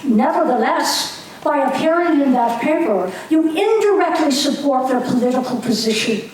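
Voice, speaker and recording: Older woman as a fervent irish patriot girl, needling and preachy, high voice, echoey hall, mic far off, faint hiss. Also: animated, moderate pace, darkish tone. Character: spirited young Irish nationalist woman, teasing and fervent. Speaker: community theater actor. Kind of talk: amateur stage reading. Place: community stage hall.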